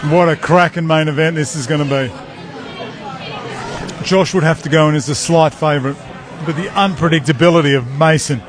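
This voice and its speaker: High-voiced male fight commentator amid crowd noise. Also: steady tone, fairly quick, pauses often. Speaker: event commentator. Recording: live mic amid very loud crowd. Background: loud crowd.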